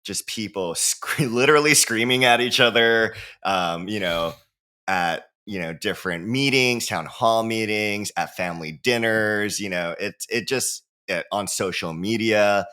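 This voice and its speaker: A low-pitched man from Hawaii talks with a bright, forward edge to his voice. He is a guest author in a relaxed interview. His delivery is animated and full of "you know"s, and he breaks into laughter now and then. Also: unhurried, smooth. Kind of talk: casual interview talk.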